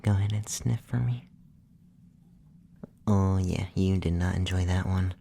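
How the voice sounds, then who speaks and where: Casting: performer as gentle, effeminate young male alien abductor, ASMR roleplay; mellow half-whisper, soothing matter-of-fact delivery, some background noise. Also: deep voice. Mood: calm, curious. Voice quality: soft, breathy, often whispered. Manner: slow, soothing, softly spoken, matter-of-fact.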